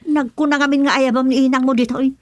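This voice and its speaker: Woman playing chiding Ilocano village woman, fairly high voice, exclamatory, down-to-earth, close dry radio drama sound. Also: fairly even intonation, fast, no room sound, natural voice. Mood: scolding.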